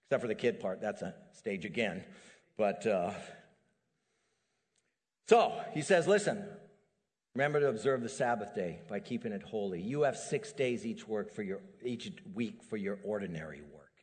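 A middle-aged man preaching a sermon as a pastor, animated and building to emphatic peaks. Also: on the low side, normal speed. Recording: long hall reverb.

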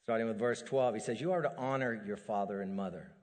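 A middle-aged man preaching a sermon as a pastor, animated and building to emphatic peaks. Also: on the low side, quick pace. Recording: long hall reverb.

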